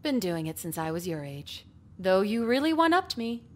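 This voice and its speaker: A woman voicing a stern, exasperated high school principal in a visual novel. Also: sing-song, normal speed, lightly acted. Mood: resigned, frank.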